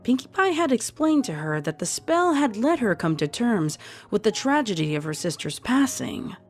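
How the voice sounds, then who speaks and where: A woman's voice: a little high, sing-song, moderate pace, faint music behind. A woman narrator reading a scripted story.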